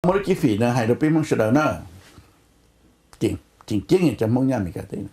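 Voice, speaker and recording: A man's voice: mid-range voice, expressive, quick pace, dark tone. A man speaking spontaneously in a discussion on a conference call.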